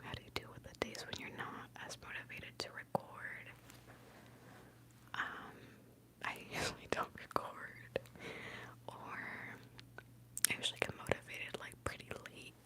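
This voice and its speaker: A young woman from Mexico, a native Spanish speaker, whispering softly and casually very close to a sensitive microphone as an ASMR streamer. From born in Mexico, moved to the US as a young child. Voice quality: breathy whisper. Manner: soft, unhurried, close to the microphone. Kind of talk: ASMR whispering, casual rambling and answering chat. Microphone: close, highly sensitive microphone.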